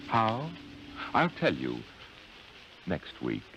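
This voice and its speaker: A man's voice: low voice, huge pitch swings, drawn-out words, vocal fry. A man announcing in 1950s radio style, with a dramatic, teasing delivery, heard on a noisy old broadcast recording.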